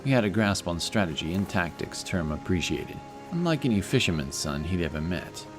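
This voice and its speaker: A man with a very low voice narrates a fantasy tale in scripted audiobook style. Clear music plays behind him throughout. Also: big pitch swings, a little fast.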